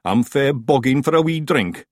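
Man doing rowdy little Scottish fairy men, raised pitch. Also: animated, moderate pace, no room sound, clean studio sound, natural voice. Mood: cheeky. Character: rowdy little male fairy folk, rough and cheeky.

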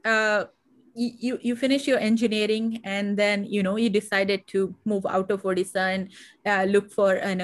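A woman with an Indian accent hosting an interview, talking in a hesitant way with many fillers and restarts. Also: medium pitch. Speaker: podcast host. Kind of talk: conversational interview.

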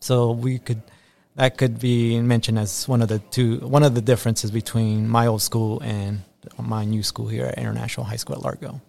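Earnest guy in his 30s, assistant principal on a school podcast, lots of ums, repeats himself, middle pitch. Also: flat delivery, normal speed, creaky, a little mellow. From Ecuador.

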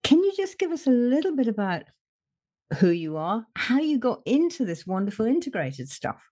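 Enthusiastic woman host, lively pitch. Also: normal speed. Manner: enthusiastic, effusive. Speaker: podcast host. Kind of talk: interview introduction.

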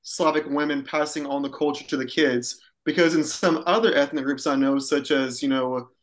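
A man co-hosting a podcast, explaining points in a quick, upbeat, casual way. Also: on the high side, steady tone. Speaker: podcast co-host.

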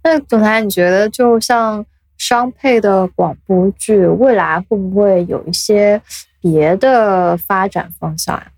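Slightly husky woman hosting a casual chat. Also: medium pitch, unhurried, a little mellow, no room sound. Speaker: podcast host.

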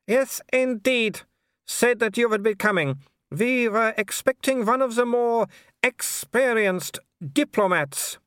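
A man's voice: extremely high pitch, expressive, takes it slowly, a bit bright, smooth, dry recording, studio quality, pitched above the performer's own voice, full character voice. A man voicing a haughty, falsely sweet aristocratic baroness in a storybook reading, with prim, icily polite speech.

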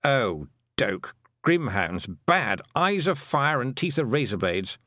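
A man's voice: medium pitch, sing-song, slow, pushed and bright, dry recording, heavily acted. A man doing a dry, unhelpful talking toad. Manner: dry, matter-of-fact.

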